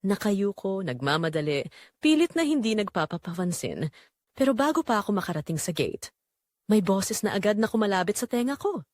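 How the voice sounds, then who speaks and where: A woman's voice: medium pitch, sing-song, moderate pace, darkish tone, dry recording, clean studio sound. A woman narrating a scripted first-person romance story for an audio drama.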